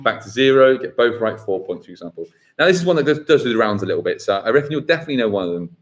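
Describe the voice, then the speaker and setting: A man hosts a live football quiz, his pitch swinging up and down. He reads each question slowly and then repeats it. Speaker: quiz host.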